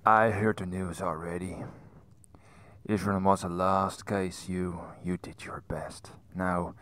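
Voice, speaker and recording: Deep-voiced, slow-spoken weary villager. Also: male voice, level tone, fairly bright tone. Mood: resigned, grieving. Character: weary male villager, grateful and resigned. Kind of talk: video game dialogue acting.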